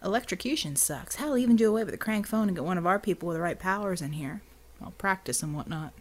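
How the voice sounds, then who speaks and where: A woman voicing a gruff, plain-spoken, tough American man in an audiobook story. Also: lowish voice, lively intonation, quick pace, darkish tone. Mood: coolly menacing. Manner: colloquial, blunt, coolly menacing when persuading.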